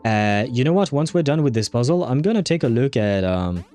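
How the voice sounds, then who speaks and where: A man's voice: mid-range voice, expressive, a little fast, mellow, soft background music. A man giving casual livestream commentary as he plays a game, muttering to himself and swearing now and then. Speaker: livestream host.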